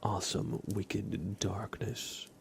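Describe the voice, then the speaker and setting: A man, hosting an ASMR livestream in a gentle, unhurried way and talking directly to his chat. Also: lowish voice, level tone, creaky. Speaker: livestream host. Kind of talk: ASMR livestream, whispered and soft-spoken.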